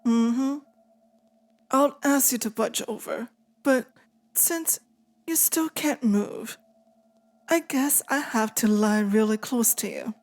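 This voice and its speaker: A lonely, possessive female demon played by a woman murmurs in an intimate ASMR roleplay. Her voice sits fairly high and moves very slowly, tender and hesitant, with a pleading, wistful temper. Faint music plays behind her. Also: a little mellow. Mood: tender, hopeful.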